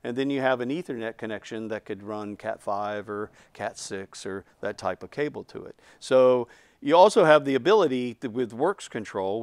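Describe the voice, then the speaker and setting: Man in his fifties, slightly low voice, clean and resonant, dry studio sound, technical product explanation. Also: no echo. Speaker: product designer explaining a loudspeaker. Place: indoor studio set, seated.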